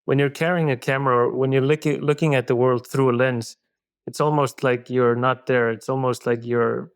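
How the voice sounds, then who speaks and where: A middle-aged man whose first language is Icelandic, giving thoughtful, halting interview answers full of restarts. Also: medium pitch, fairly even intonation, clear, resonant tone, dry recording, studio quality. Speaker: film director guest.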